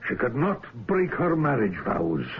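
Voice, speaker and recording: Brooding, slow-spoken sea captain. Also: male voice, medium pitch, big pitch swings, husky, heavily acted. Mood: anguished, brooding. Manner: brooding, theatrical, intense. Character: obsessed, lovelorn, haunted male ship's captain, stern and brooding. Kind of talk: old-time radio drama acting. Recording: muffled, hissy old radio broadcast recording.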